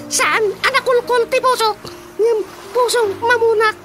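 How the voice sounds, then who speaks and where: A woman's voice: very high-pitched, steady tone, a little slow. A woman with an Ilocano accent playing a mocking, teasing female tormentor who giggles constantly in a radio drama comedy. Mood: mocking, gleeful. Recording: music behind the voice.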